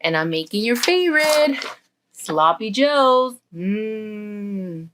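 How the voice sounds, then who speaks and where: A woman's voice: medium pitch, very animated, slow pace, noisy background. A woman plays the mother of a young boy in a children's story. She is concerned and caring, with a motherly, questioning way of talking. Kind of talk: children's story character acting.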